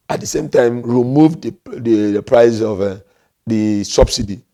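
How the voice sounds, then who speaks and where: A man with a Nigerian accent speaks as a political and economic commentator. His voice is mellow and relaxed in tone. He lays out his points in a measured, emphatic way, often restating a phrase to stress it. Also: mid-range voice.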